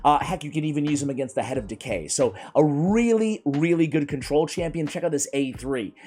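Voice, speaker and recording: A man reviewing video game characters in a casual, energetic way, his voice strained and worn from a cold. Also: on the high side, brisk, slight background noise. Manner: energetic, chatty, keeps addressing viewers as guys. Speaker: gaming YouTuber.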